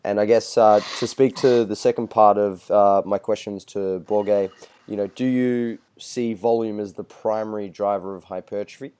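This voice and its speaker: A man's voice: lowish voice, steady tone, normal speed, dark tone, clear, resonant tone. An Australian man with an Australian accent, casually interviewing guests as a podcast host on a video call.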